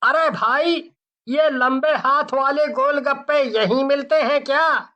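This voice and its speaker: A woman voicing a cheerful male customer who is a father, speaking slowly in a joking way. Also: high voice, pushed and bright, full character voice. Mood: jolly.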